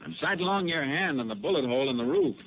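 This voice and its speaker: An actor playing a calm, methodical male Texas Ranger detective in a 1950s radio drama, asking measured questions. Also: fairly high pitch, natural voice. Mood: steady, probing. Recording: tinny lo-fi old radio broadcast with faint hiss.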